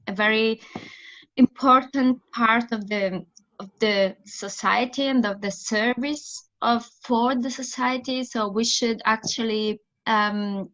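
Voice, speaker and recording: A woman's voice: fairly high pitch, a bit bright. Italian woman speaking English, lo-fi call. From Italy. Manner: searching for words, frequent restarts, thoughtful. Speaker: theatre director, guest. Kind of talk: interview answers on a remote call.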